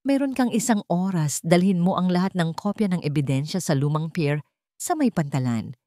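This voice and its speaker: Haughty older villainess, played by a female narrator: venomous, mocking, deliberate menace, dry close sound. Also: on the low side, lively intonation, mellow, dry recording, close to an everyday voice. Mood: cold commanding.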